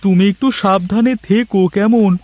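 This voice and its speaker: Cartoon neighbour, male actor: nosy, confiding village man, notably high voice, full of cautions. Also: steady tone, moderate pace, darkish tone, natural voice. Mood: cautioning. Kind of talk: Bengali moral-story cartoon dubbing.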